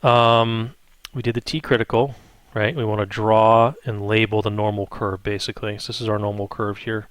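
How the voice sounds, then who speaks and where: Low-voiced man teaching math step by step. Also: flat delivery, moderate pace, smooth, no room sound. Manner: thinks aloud, frequent check-in tags like "right?". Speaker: math instructor. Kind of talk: improvised step-by-step spreadsheet tutorial.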